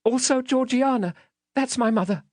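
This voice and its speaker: A man's voice: very high voice, moderate pace, darkish tone, no echo, clean studio sound, voice pushed up. A man voicing a small, terrified, polite village boy in a storybook, timid and pleading.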